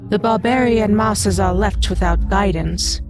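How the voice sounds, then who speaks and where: A woman's voice: medium pitch, lightly acted. A woman playing a delicate-voiced, assertive seeress with a subtle menace in an audio drama. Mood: somber menace. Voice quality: soft, delicate. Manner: slow, assertive, portentous. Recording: music under the voice.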